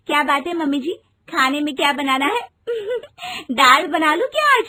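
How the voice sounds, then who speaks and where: A woman's voice: extremely high pitch, lively intonation, a little slow, bright, forceful tone, no room sound, exaggerated voice. A woman voicing a cheerful young daughter-in-law who laughs through her words in a breezy way. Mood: giggly. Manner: giggly, breezy.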